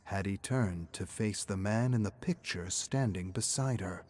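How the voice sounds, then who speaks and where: A man's voice: on the low side, unhurried, a little mellow, slight background noise. A man reading scripted, dramatic fiction lore as a narrator.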